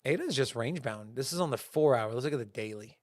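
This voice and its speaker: A young man hosting a casual crypto market show, talking in animated bursts with fillers and restarts. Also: medium pitch, quick pace, no room sound, clean studio sound. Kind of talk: casual market commentary to a live chat.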